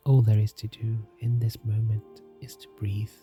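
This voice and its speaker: A man leads a guided meditation in a soft, soothing, scripted narration, calm and gentle, leaving long unhurried pauses. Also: on the low side, dull audio. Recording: music behind the voice. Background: soft music.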